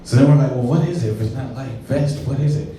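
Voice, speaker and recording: A man's voice: medium pitch, quick pace, slight background noise. Man doing stand-up in an echoey club. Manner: animated storytelling with put-on voices for friends and coworkers. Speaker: stand-up comedian. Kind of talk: stand-up comedy set. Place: comedy club stage. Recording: roomy, distant mic in a club.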